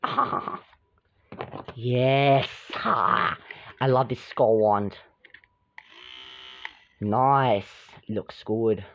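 A woman's voice: very deep voice, very slow, vocal fry, pauses often, slight background noise, exaggerated voice. A woman voicing a scheming, bossy mean girl in an improvised role-play, curt and taunting. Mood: gloating. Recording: dull lo-fi sound.

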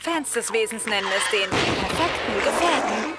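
A woman voicing a robot TV reporter, giving a brisk, presentational news report in a game cutscene. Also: fairly high pitch, animated, very noisy, close to an everyday voice. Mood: upbeat reporting. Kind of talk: live news report parody. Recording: loud music behind.

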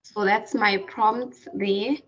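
A woman walking through a software tutorial over a screen recording, hesitant with many fillers and restarts. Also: mid-range voice, fairly even intonation, slow. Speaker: tutorial presenter. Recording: distant, dull lo-fi mic.

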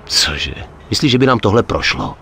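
Low-voiced, level-headed gangster. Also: male voice, sing-song, sharp tone, soft background music, exaggerated voice. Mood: firm. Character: level-headed, wary, tough gangster in his thirties. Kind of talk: dubbed video game cutscene acting.

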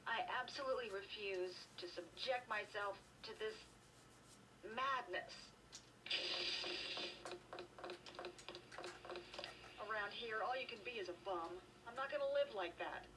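An actress playing a bitter, fed-up young daughter in a video game, sullen and emphatic. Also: female voice, medium pitch, moderate pace, recorded from a distance, close to an everyday voice. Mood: defiant. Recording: game audio filmed off a TV, noisy.

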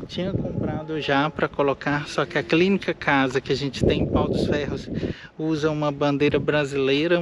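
A man's voice: on the high side, background noise. A man from Minas Gerais, hosting his own vlog in an airfield hangar, chatting casually with many restarts and fillers. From Minas Gerais, Brazil. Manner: rambling, with frequent restarts and fillers. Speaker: channel host, eye doctor and pilot. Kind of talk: casual walk-and-talk vlog.

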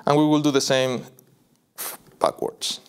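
Young man demonstrating software. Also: a little high, flat delivery, a little slow, smooth. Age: young adult. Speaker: software product presenter. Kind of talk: live software demo walkthrough. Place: indoor video studio.

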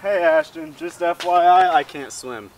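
A man's voice: sits high, wildly swooping pitch, unhurried, distant mic, slight background noise, heavily acted. A young man in his late teens playing an oversensitive, aggrieved hiker in an amateur comedy sketch. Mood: hurt, indignant. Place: outdoors on a woodland trail.

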